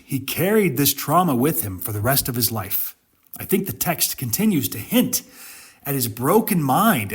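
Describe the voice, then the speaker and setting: A man delivering dramatic scripture reading and interpretive commentary, with emphatic storytelling and deliberate pauses. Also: medium pitch, breathy edge. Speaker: Bible commentator and narrator.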